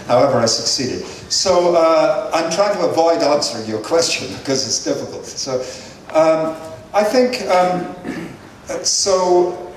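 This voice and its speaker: A man's voice: fairly high pitch, lively intonation. Older man answering questions in an echoey hall. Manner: measured, earnest, with frequent fillers. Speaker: guest speaker answering audience questions. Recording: echoey hall, distant mic, faint noise.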